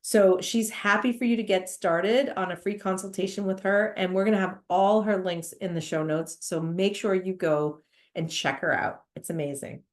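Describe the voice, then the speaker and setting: A woman's voice: medium pitch, fairly even intonation, normal speed. A woman hosting a podcast in an effusive, enthusiastic way, sounding nasal from a cold. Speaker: podcast host. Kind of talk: podcast introduction and interview.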